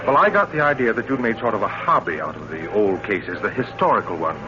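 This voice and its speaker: An actor playing an uneasy, suspicious husband who probes yet stays affectionate, in 1940s radio thriller style. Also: male voice, fairly low pitch, animated, fairly quick, over music. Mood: curious. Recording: dull old radio recording with hum.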